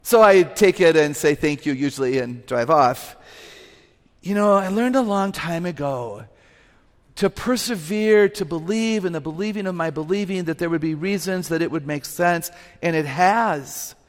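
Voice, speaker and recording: A woman with an unusually low voice gives a lively, humorous talk to a live audience through a microphone. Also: normal speed. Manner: lively, humorous, emphatic, laughs at herself. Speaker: guest speaker and author. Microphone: microphone on a stage. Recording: live talk with long hall reverb.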